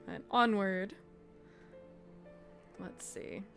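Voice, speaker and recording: A woman commenting casually on a game as she streams it. Her voice is medium in pitch, with faint music behind. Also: drawn-out words, vocal fry.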